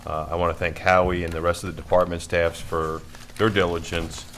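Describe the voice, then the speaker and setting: A man giving informal, appreciative remarks as a board member at a town meeting, speaking in a halting, folksy way. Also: sits low, little pitch movement, a bit bright. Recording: faint noise, public meeting room.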